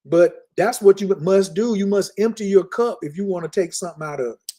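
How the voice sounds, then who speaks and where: A man teaching in an emphatic, lecturing way on a casual podcast, repeating key words slowly. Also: sits high, mellow. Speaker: spiritual teacher. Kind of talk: casual podcast talk, preaching.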